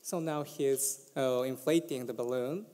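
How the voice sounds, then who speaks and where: A man in his thirties with a Japanese accent, presenting a medical case at a conference in careful, halting English. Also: mid-range voice, warm tone. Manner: plain, careful, with halting fillers. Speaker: conference speaker. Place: large conference hall stage. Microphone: podium mic. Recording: reverberant hall.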